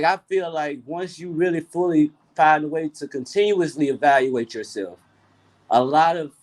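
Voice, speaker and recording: A man's voice: a little high, darkish tone. A young man from South Memphis with a Memphis accent, hosting a casual group chat in a loose, profane, rambling way with many restarts. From South Memphis, USA. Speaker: host of an open discussion.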